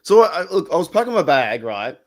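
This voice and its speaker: A man's voice: fairly high pitch, big pitch swings, fairly quick. An Australian man co-hosting a podcast over a video call, chatting casually, swearing and laughing, his voice a little worn. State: losing his voice. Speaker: podcast co-host. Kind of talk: casual chat.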